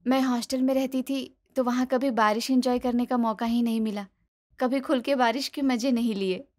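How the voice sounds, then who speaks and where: A woman acts out a young bride in a cartoon family story. Her voice is high, eager and expressive, made for coaxing her in-laws and sulking a little when hurt. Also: normal speed, lightly acted. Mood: cheerful. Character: eager young daughter-in-law, cheerful, pleading, easily hurt. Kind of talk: animated moral story dialogue.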